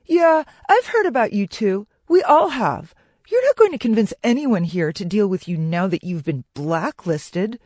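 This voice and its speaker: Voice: medium pitch, very animated, measured pace, a little mellow, dry recording, clean studio sound, voice pushed up. A narrator voicing a sneering, aggrieved male market stallholder in an audiobook, scornful and indignant.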